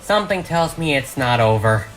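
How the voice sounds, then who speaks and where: A man's voice: mid-range voice, lively intonation, moderate pace, a bit bright, faint music behind, voice pushed up, close to an everyday voice, rough recording. A man voicing a brave, determined young female pony heroine in an earnest cartoon audio drama. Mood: low, focused.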